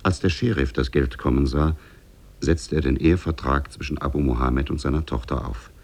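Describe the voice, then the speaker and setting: A man with an extremely low, husky voice narrates an old tale. His delivery is formal and measured, in the manner of 1950s German radio storytelling. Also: darkish tone.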